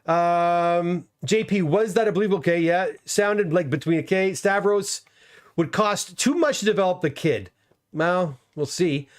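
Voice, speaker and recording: A man's voice: sits high, unhurried. A middle-aged man hosting a casual livestream chat, reading viewer comments aloud and reacting to them. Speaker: host.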